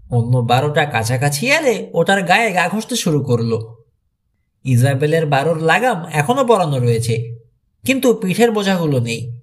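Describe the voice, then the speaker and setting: A man reading a mystery thriller audiobook as dramatic, expressive scripted narration. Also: a little high.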